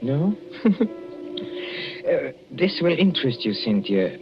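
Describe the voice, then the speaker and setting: An actor playing a jealous husband in an old radio suspense drama, sardonic and hesitant, with mock affection and menace. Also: male voice, medium pitch, big pitch swings, slow, music in the background. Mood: gloating menace. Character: jealous, menacing husband, coolly mocking as he reveals poisoning. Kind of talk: 1940s radio suspense acting. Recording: noisy muffled old radio recording.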